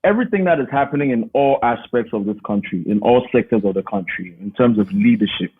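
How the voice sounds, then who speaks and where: A man's voice: mid-range voice, fast, slight background noise. A man, talking casually and emphatically as an interview guest on a call. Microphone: remote call. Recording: thin phone-like call audio.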